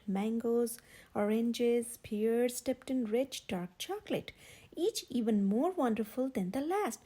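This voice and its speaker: A woman reads a picture book aloud for children in a high voice that swoops with expression. Her tone has a breathy edge, and she leans on key words for dramatic effect as a storytime host. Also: mellow. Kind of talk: animated children's read-aloud narration.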